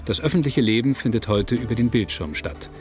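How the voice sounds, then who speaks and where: A man narrating a scripted documentary in a measured, factual manner. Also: mid-range voice, expressive, darkish tone, faint music behind. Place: studio voice-over, music behind.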